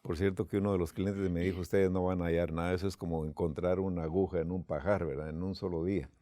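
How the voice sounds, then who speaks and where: A man's voice: low voice, little pitch movement, fast, warm tone, no room sound. A man from Guatemala, telling a personal story unhurriedly with quoted dialogue, as a church leader.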